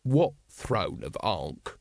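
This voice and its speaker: A man voicing a gruff, weary, sceptical city watch captain with a blunt manner in a storybook reading. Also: on the low side, very animated, drawn-out words, darkish tone, no echo, clean studio sound, pitched below the performer's own voice, exaggerated voice. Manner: growling, blunt.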